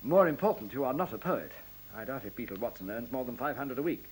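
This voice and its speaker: A man's voice: mid-range voice, animated, quick pace, slight background noise, close to an everyday voice, rough recording. A man playing a polite, hesitant, officious director of a secretive computer plant in a radio play.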